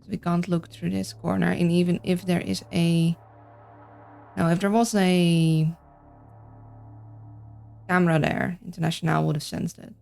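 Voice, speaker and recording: A woman with a Dutch accent chats easily with her stream while she plays, answering viewers and talking through her moves. Her voice sits low and carries a steady gravelly fry. Soft game music plays faintly underneath. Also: measured pace, long pauses. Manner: chatty, reads and answers chat, thinks aloud. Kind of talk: casual livestream chat while playing.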